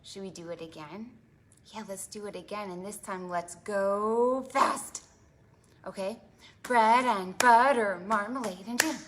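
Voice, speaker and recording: A woman hosting an interactive storytime for preschoolers, talking in an animated, exaggerated way to small children and asking them lots of questions. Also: medium pitch, a little slow, slight background noise. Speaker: children's librarian and storytime host.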